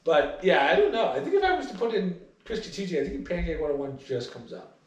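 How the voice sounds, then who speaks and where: A man's voice: sits high, wildly swooping pitch, quick pace, recorded from a distance, a little hiss or hum. A young man hosting a podcast in casual, joking, self-mocking chat. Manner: jokey, self-mocking, laughs through his sentences. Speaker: podcast host. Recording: roomy echo.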